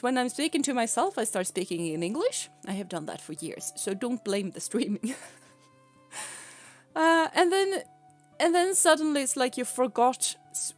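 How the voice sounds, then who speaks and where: A woman with a Swedish accent, a native Swedish speaker, chatting casually on a stream, rambling and giggling as she talks. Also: a little high. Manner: rambling and giggly, often laughing mid-sentence. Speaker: VTuber streamer.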